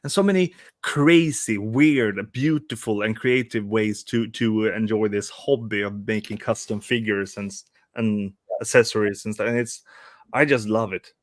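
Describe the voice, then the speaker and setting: Man, 40s, low voice, dry close sound, halting casual chat. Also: no echo. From Sweden. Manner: hesitant, many restarts. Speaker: guest, toy customizer. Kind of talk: casual live-stream chat.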